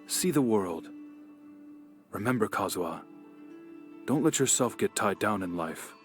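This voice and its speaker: A man playing a weary, gentle father and clan head in a video game, speaking solemnly and earnestly. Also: fairly low pitch, lively intonation, unhurried. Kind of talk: dramatic video game voice acting. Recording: soft music under the voice.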